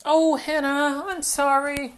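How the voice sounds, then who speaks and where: A woman voicing a cheerful little girl pig who pleads and apologizes in a high, breathless voice. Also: little pitch movement, slow pace. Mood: pleading.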